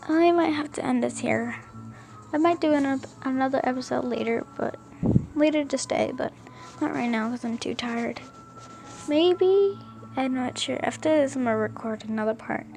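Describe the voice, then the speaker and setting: A woman reading a first-person story aloud as an amateur narrator, haltingly, often stumbling and rereading words. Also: high-pitched, vocal fry, a little mellow. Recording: music behind the voice. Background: music.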